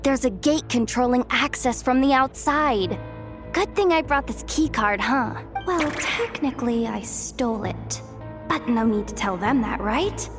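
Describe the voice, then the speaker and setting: A woman's voice: on the high side, expressive, a little slow, music in the background. A woman voicing a cheeky, breezy young female treasure hunter in a video game, with a flippant, chatty, theatrical manner. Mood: breezy, sly. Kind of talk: animated video game voice acting.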